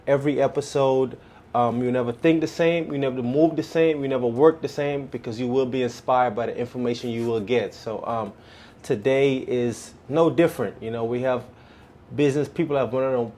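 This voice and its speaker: A man with a Bahamian accent hosting a podcast interview in a relaxed way, often repeating the guest's words back. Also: medium pitch, normal speed. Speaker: podcast host.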